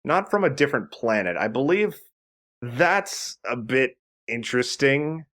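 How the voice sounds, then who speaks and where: A man's voice: mid-range voice, animated, a little slow, fairly bright tone. A man giving casual, chatty commentary as he explains a video game. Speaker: let's play host.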